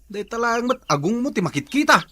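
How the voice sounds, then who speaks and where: A man's voice: very high-pitched, very animated, brisk, bright, forceful tone, slight background noise, full character voice. A man acting as a nagging, teasing husband in a comedy skit. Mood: bossy. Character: nagging, teasing husband working at planting crops. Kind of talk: comedy skit dialogue in Ilocano.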